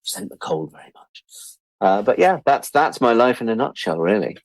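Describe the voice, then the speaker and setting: A man's voice: mid-range voice, big pitch swings, moderate pace, no echo. A British man of about sixty chatting casually and reflectively as an interview guest, candid and wry, repeating words for emphasis. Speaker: interview guest, freelance media producer.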